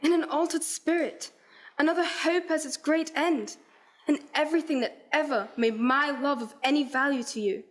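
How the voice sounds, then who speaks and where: A woman's voice: high voice, steady tone, normal speed, natural voice. A woman playing a heartbroken but resolute young fiancée in a radio play, speaking earnest, formal period lines. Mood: sorrowful, firm. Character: Belle, heartbroken, resolute young fiancée releasing her betrothed.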